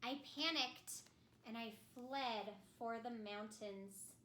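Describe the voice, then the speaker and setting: A woman's voice: fairly high pitch, slow, sharp tone, a little hiss or hum. A woman telling a children's story in the first person as an ice queen, theatrical and speaking simply and directly to children. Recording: roomy echo.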